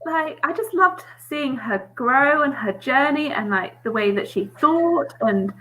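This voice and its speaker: A woman's voice: high voice, expressive, measured pace, fairly bright tone, slight background noise, low-quality recording. A woman guest on a live online book panel who gushes enthusiastically about a character. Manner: enthusiastic, gushing. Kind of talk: casual book discussion.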